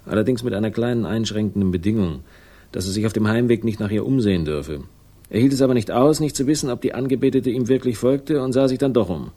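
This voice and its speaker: A man's voice: on the low side, fast, mellow, close to an everyday voice. An actor playing a laconic, ironic investigator who narrates his case in a 1960s German crime radio drama. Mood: ironic, insistent. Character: wry, hard-boiled male investigator narrating his case. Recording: music behind the voice.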